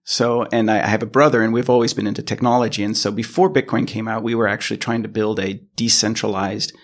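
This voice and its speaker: An American man answers interview questions in a low, gravelly voice full of fry. He explains in a conversational way, thinking as he goes and often restarting his phrases. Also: little pitch movement, fast. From California, United States. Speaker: podcast guest, product engineer.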